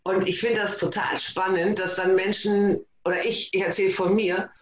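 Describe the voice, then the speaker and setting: Guest: bubbly, overexcited man, high voice, echoey room with a dull, muffled sound. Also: normal speed, slight background noise. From East Frisia, Germany. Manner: excited, bubbly, self-described as overexcited. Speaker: guest, course facilitator. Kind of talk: casual podcast interview.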